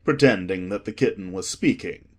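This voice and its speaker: A man narrating a children's audiobook in an expressive, measured storytelling manner. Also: low-pitched.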